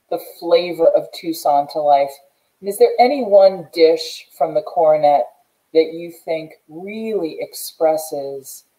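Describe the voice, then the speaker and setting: Middle-aged female host, American. Dark, soft-edged low voice, earnest and emphatic on a video call. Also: poor audio. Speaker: show host. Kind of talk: interview hosting. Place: indoors, plain room.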